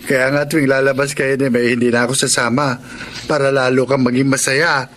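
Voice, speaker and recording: A man's voice: mid-range voice, level tone, brisk, fairly bright tone, lightly acted. An actor playing a young man's teasing, chummy friend in a Filipino radio drama. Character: young male friend, teasing, egging on a lovestruck buddy. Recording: radio drama with faint noisy ambience.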